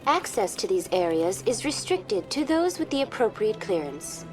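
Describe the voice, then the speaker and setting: A woman's voice: mid-range voice, sing-song, normal speed, close to an everyday voice, rough recording. A woman playing a polite virtual tour guide program in a game, formal and informative in a scripted guide style. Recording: music behind the voice.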